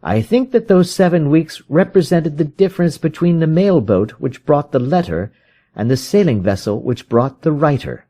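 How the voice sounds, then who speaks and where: A keen, methodical detective, read by a man in a storybook, with a higher-pitched, mellow voice. He reasons aloud confidently and urgently, questioning and stressing points with lively swings of pitch. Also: normal speed. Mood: urgent, emphatic. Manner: didactic, questioning, emphatic. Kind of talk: dramatised storybook reading.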